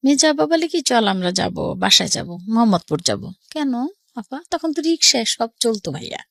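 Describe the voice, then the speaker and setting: A woman's voice: a little high, sing-song, a little fast, vocal fry, fairly bright tone. A middle-aged woman with a Bangladeshi accent tells her life story casually and conversationally, often quoting family dialogue.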